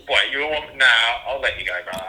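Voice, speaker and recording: A man's voice: medium pitch, fast talker, a crowd in the background, rough recording. An Australian man chatting casually as a phone-in guest, laconic, matey and heavily sweary. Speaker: phone-in guest calling from prison. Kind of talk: casual banter on a phone call. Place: phone call from a prison. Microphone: telephone.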